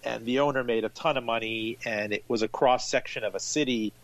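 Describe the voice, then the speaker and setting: A man's voice: mid-range voice, fairly quick, low-quality recording. A man in his forties, an author and journalist who grew up in Miami, telling his story fluently and with energy as an interview guest. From grew up in Miami, born in Iran. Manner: fluent, animated storytelling with restarts.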